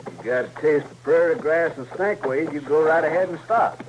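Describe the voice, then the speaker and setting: A man's voice: on the high side, normal speed, lo-fi sound, close to an everyday voice. Sneering Western outlaw bully played by a man, vintage radio. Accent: American, rural Western. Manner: sarcastic, menacing drawl. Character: bullying, sneering, hot-tempered male outlaw gunman. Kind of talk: old-time radio Western acting. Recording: slightly noisy vintage radio recording.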